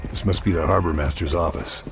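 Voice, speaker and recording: An actor playing a gruff, stoic American army lieutenant in a video game, terse and understated, with a low gravelly voice. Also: male voice, fairly even intonation, fairly quick, background noise. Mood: muttering, focused. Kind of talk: video game cutscene acting.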